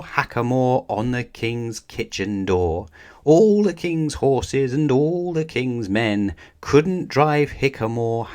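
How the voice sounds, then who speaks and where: A man's voice: mid-range voice, darkish tone. A man voicing a cheeky, rude young male squirrel from a storybook, chanting riddles in a taunting sing-song. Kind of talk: storybook character voice.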